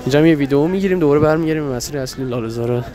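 A man in a casual, musing walking-tour narration, talking with filler pauses as he walks a busy shopping street. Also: a little high, a crowd in the background. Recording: loud street traffic noise behind.

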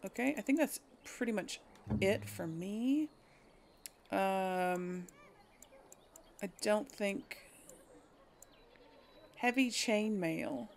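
Female gaming host, unhurried delivery, mid-range voice, hesitant and musing, casual commentary. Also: medium pitch, expressive, a little hiss or hum. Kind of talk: casual game commentary, thinking aloud.

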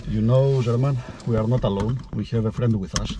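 A middle-aged man with a Greek accent hosts a casual chat in a medium-pitched voice. He is recorded in a car with loud noise around him. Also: steady tone, brisk. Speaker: host, club staff member. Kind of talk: casual chat while driving. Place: inside a moving car.

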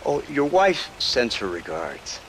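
A man's voice: mid-range voice, big pitch swings, takes it slowly, a bit bright, slight background noise. Smug, swooping older American lawman. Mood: mock pleasant. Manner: mocking, condescending politeness with veiled threats. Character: smug, menacing older male government agent. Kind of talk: video game cutscene acting.

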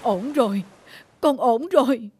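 A woman's voice: on the high side, animated, slow pace. A woman playing a tender cartoon mother who comforts her child in a soothing, emotional way. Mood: tearful relief. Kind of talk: cartoon character acting. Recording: heavily echoing, music underneath.

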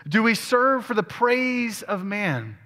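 A man preaching a sermon in a church sanctuary, his fairly high voice carrying with long reverb off the hall. He works through scripture aloud and puts questions to his listeners. The long echo of the room trails behind every phrase. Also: big pitch swings, slow, bright, forceful tone. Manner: expository preaching, asks the congregation questions. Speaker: pastor.

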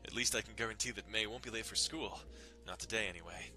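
A man reads a visual novel aloud as a dramatic narrator. His voice sits fairly low and is very bright and forceful. Faint music runs underneath. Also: quick pace. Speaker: dramatic reader.

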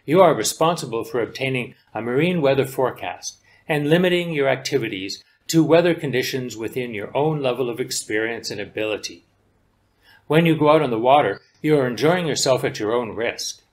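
An older man, a sailing instructor, explaining sailing concepts in calm, measured, scripted narration. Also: mid-range voice.

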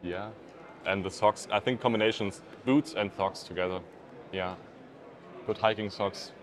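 Low-voiced young German man. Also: fairly even intonation, unhurried. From Germany, Hamburg. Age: 20s. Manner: hesitant, simple phrasing with fillers. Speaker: interviewee, pilgrim. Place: outdoors under a stone arcade. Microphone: lapel mic.

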